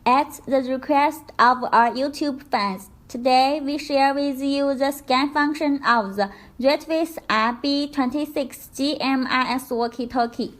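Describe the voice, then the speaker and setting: A woman presenting a product tutorial, reading scripted instructions in a careful, deliberate step-by-step way. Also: high-pitched.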